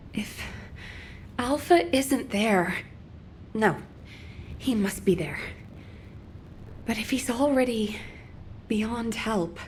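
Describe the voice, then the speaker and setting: Worried young game soldier; slow, hesitant, anxious. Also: female voice, fairly high pitch, slight background noise. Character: worried young woman soldier, new recruit. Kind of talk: game cutscene dialogue.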